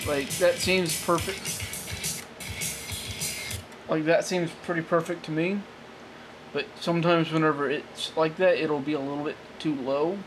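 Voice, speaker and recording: A man giving a casual, unscripted how-to demonstration in a halting, rambling way, often correcting himself, talking from behind a handheld camera. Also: a little high, steady tone, over music, poor audio. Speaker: amateur tech tutorial presenter. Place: small indoor room at a desk. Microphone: handheld camera, off-screen close behind it.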